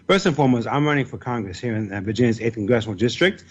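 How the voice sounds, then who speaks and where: A man's voice: medium pitch, expressive, very fast, husky. Earnest man on a muffled call. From Ethiopia. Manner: earnest, many restarts and fillers. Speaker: congressional candidate, guest. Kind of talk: political interview.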